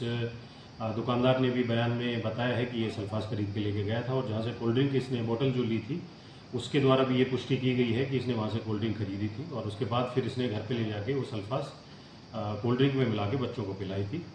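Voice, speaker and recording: A man giving a formal police statement to a reporter, speaking in a halting official manner with frequent hesitations. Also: mid-range voice, fairly even intonation, darkish tone. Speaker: police official briefing the press. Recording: roomy echo, faint background noise.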